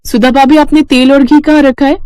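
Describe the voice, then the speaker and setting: A dutiful young bride from a moral bedtime tale, acted by a woman storyteller. She speaks high, politely and earnestly, with pious humility, in a dry close recording. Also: little pitch movement, fairly quick, darkish tone, no echo, close to an everyday voice. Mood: worried.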